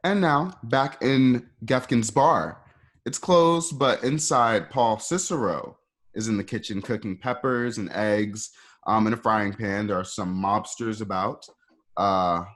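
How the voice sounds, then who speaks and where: Low-voiced man narrating scenes. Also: a bit bright. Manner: halting, improvised phrasing. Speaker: narrator reading stage directions.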